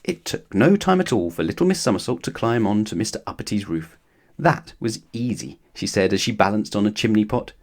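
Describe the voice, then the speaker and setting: A man's voice: lowish voice, expressive, fast, few pauses, a little mellow. A man narrating a children's storybook expressively, as if talking straight to young listeners. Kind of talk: animated children's story read-aloud.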